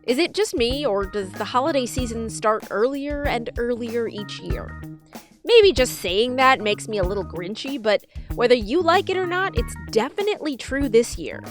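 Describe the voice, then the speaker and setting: A woman hosts a podcast with scripted narration, linking segments in a fairly high voice. Music plays clearly behind her. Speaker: podcast host.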